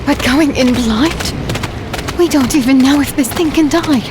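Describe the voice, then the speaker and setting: A woman's voice: high-pitched, unhurried, background noise. A woman playing an anxious archer in a fantasy audio drama, speaking urgently. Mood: alarmed. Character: alarmed woman archer fighting beside the hero. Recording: music behind the voice.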